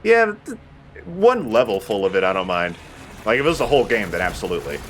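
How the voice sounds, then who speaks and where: Male let's player, lowish voice, casual and jokey with some swearing, faint noise behind him. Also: very animated, quick pace. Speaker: let's play host playing the game. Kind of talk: casual gameplay commentary. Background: video game sound.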